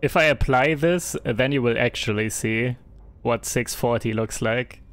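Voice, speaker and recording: A man's voice: medium pitch, measured pace, a bit bright. German-accented man streaming a game. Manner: chatty, reads and answers chat, hesitant fillers. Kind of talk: casual live-stream commentary.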